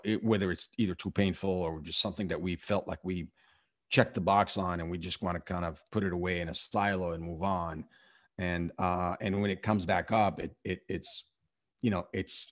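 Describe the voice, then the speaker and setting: A man from Syria, a podcast host, answering in a halting, reflective way, with many fillers and restarts. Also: low-pitched. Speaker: podcast host and real estate investor. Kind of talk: conversational interview.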